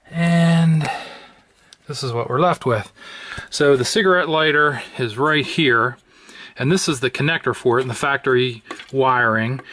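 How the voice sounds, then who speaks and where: Man narrating casually over clear background noise. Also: mid-range voice, fairly bright tone. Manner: matter-of-fact, thinking aloud while working. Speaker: vlogger installing a car CB radio. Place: inside a car. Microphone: handheld camera microphone.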